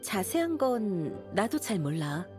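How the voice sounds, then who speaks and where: A woman's voice: mid-range voice, very animated, slow pace, loud music behind the voice. A woman playing a dutiful young knight commander in an anime game, formal, earnest and authoritative. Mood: earnest, explaining.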